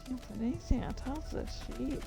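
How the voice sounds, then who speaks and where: A woman streamer chatting casually, rambling and interrupting herself with playful excitement. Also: sits high, brisk, gravelly, over music. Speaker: streamer and author. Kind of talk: casual livestream chat.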